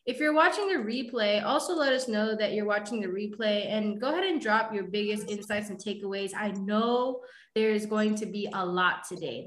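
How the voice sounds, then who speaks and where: A woman's voice: medium pitch, a bit bright, roomy sound. A woman hosting a casual interview, upbeat and chatty. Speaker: host.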